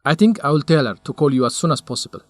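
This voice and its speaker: An actor playing a caring, concerned young man in a radio drama, speaking in simple, deliberate phrases. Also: a little high, lively intonation, no echo, natural voice. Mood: dismayed, sympathetic. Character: caring, concerned young male research companion and friend. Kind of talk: English-learning radio drama acting.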